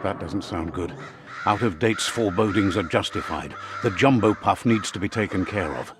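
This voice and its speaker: A man's voice: deep voice, normal speed, music in the background. A man giving scripted narration for a video game, a wry storyteller who relays what the characters say.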